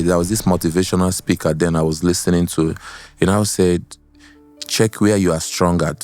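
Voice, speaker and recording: Nigerian male, very low bass, relaxed podcast storytelling with some Pidgin, music underneath. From Port Harcourt, Nigeria. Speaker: guest musician, singer. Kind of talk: casual storytelling in interview. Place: podcast studio.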